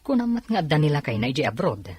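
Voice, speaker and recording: A woman playing a tired, grumbling housemate in an audio drama, with a husky, raspy voice and dry manner. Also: low voice, big pitch swings, fast, darkish tone.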